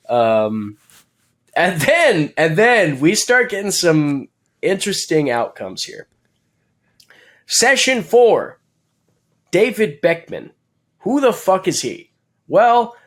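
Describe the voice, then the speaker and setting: Guy cohosting a racing podcast, talks slow and rambly, lotta ums and jokes, voice on the higher side. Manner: rambling, filler-heavy, joking and laughing. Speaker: podcast co-host. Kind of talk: casual race recap chat.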